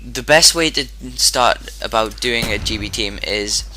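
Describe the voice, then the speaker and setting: A man with a hoarse, sore-throated voice giving casual commentary over his own gameplay, rambling and often restarting his sentences. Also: on the low side, a little slow, bright, forceful tone, slight background noise, rough recording. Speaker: gaming commentator.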